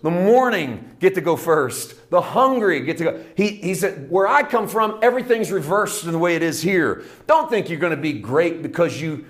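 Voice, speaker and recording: A man preaching a sermon, building with repeated phrases and dropping to hushed, intimate passages. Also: high voice. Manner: emphatic, repetitive preaching cadence, swings from loud to hushed. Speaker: pastor.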